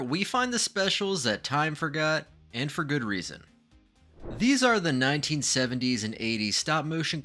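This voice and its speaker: A man's voice: a little high, bright, forceful tone. A man reading scripted documentary narration with dramatic, ominous emphasis.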